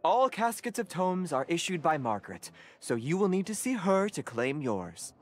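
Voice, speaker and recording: Animated, earnest card-game official. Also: male voice, a little high, moderate pace. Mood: cheerful, formal. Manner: wordy, formal, earnest. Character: genial, enthusiastic, slightly flustered card-game official. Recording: background music.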